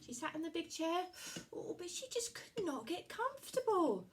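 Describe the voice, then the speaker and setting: Animated woman telling a fairy tale. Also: very high-pitched, normal speed. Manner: animated, sing-song storytelling. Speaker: children's storyteller. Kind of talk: reading a fairy tale aloud for children.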